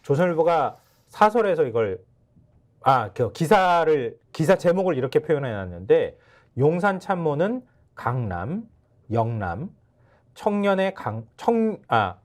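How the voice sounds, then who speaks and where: A middle-aged man giving political commentary on a studio talk show, animated and acting out quotes in a higher voice. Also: measured pace. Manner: animated, acts out quotes with sudden pitch jumps.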